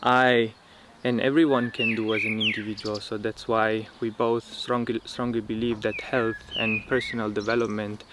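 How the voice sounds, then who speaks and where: A young man, a permaculture teacher, speaking in a relaxed, thoughtful way with frequent fillers, recorded outdoors in a dry rural field. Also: fairly low pitch, normal speed, few pauses. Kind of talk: casual interview talk. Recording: outdoor countryside noise. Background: birds and insects in the countryside.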